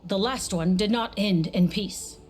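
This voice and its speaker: A woman's voice: mid-range voice, unhurried, darkish tone, faint music behind, close to an everyday voice. A woman playing a reserved, skeptical scholarly leader in a video game, formal and precise. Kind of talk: scripted video game cutscene acting.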